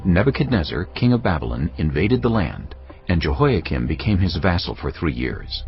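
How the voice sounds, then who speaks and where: A man reading scripture as a dramatised audio Bible narration, solemn and measured in his storytelling. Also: low voice, big pitch swings. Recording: slightly dull audio under background music. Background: background music.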